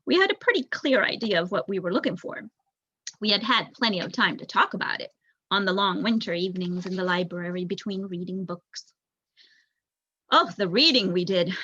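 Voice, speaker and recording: A woman's voice: medium pitch, bright, forceful tone, no echo. Woman reading as thoughtful bookish elder rat, muffled. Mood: reflective, earnest. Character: thoughtful, bookish male rat elder recounting his people's history. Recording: dull lo-fi recording.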